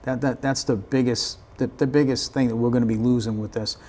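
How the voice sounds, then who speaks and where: A man's voice: medium pitch, steady tone, fairly quick, dark tone. A man with an American accent explaining things conversationally in an interview, often repeating words as he starts a sentence. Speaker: fire department staff member, interview guest.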